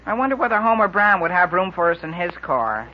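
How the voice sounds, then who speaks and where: Teenage boy, high lilting voice, earnest, gee-whiz, muddled, old radio sound. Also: big pitch swings, fairly quick, slight background noise. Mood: hesitant, earnest. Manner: earnest, dutiful, hesitant, gee-whiz boyishness. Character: eager, muddled, squeaky teenage son. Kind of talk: 1940s radio comedy acting. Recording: dull lo-fi 1940s radio broadcast.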